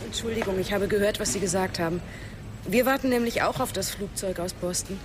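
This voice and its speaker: A woman's voice: mid-range voice, a little fast, noisy background, natural voice. A woman acting an apologetic mother striking up conversation in a naturalistic radio drama. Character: apologetic mother waiting with her daughter.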